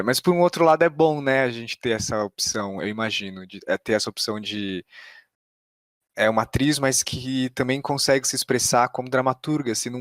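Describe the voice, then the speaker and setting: A man's voice: medium pitch, a bit bright, no echo, clean studio sound. A Brazilian man hosting a podcast interview in an informal, conversational way with tentative phrasing. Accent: Brazilian Portuguese. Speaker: podcast host.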